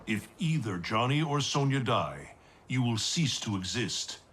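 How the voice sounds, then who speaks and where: An actor playing a wise, solemn thunder god who speaks in a measured, formal way in a video game cutscene. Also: male voice, medium pitch, sing-song, a bit bright, a little hiss or hum. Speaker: mentor.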